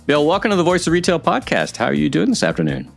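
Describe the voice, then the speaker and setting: A man's voice: on the high side, huge pitch swings, fast, gravelly, faint music behind. A man hosting a podcast, moving between scripted introduction and conversational interview questions. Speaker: podcast host.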